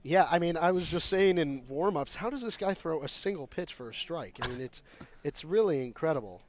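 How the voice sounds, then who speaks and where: A man's voice: on the high side, lively intonation, brisk. A man giving conversational live radio analysis as a baseball color commentator.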